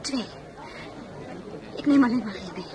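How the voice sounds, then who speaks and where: A woman's voice: a little high, unhurried, crowd noise, natural voice. Woman playing earnest, anxious marshal's wife. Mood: pleading. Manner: earnest, emotional, hesitant when pleading. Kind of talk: radio drama acting with first-person narration.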